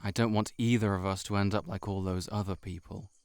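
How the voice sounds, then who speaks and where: A man playing a shy, heartbroken friend in an intimate audio roleplay, confiding haltingly to the listener. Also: on the low side, steady tone, a little mellow, clean tone, no room sound, clean studio sound, lightly acted. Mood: awkward, apologetic. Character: shapeshifting monster posing as a shy, heartbroken male friend.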